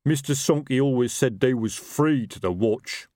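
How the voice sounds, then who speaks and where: A man voicing a matter-of-fact, easygoing troll with a rumbling voice in a storybook reading. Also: moderate pace, dark tone, no echo, studio quality, natural voice.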